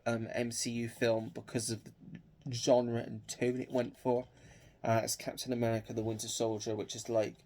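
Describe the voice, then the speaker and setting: A man's voice: mid-range voice, a little mellow. A young man with a cold, sniffling as he chats hesitantly with lots of ums about his film collection. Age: young adult. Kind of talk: casual collection showcase.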